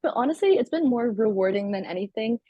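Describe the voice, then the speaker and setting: Fast-talking teenage girl, husky and dry. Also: a little high, expressive, dry recording, lo-fi sound. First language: Turkish. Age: teens. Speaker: student company CEO. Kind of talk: interview answers.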